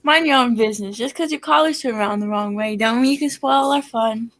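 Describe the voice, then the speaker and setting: A woman's voice: a little high, a little fast, a bit bright. A woman playing a brash working-class street woman in old-style radio drama, scrappy and colloquial. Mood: scolding. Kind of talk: 1940s radio drama acting.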